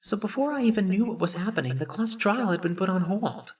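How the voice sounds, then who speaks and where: A woman's voice: medium pitch, animated, brisk, darkish tone, distant mic, close to an everyday voice. A woman voicing an earnest teenage schoolboy who narrates his story in an anime fan dub. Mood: earnest narration.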